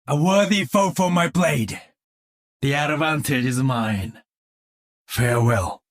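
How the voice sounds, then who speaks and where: An actor playing a disciplined, stoic cyborg ninja swordsman in a video game, with a husky voice, a Japanese accent and formal, clipped phrasing. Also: fairly high pitch, slow pace. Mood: grave, quiet. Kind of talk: video game combat voice lines.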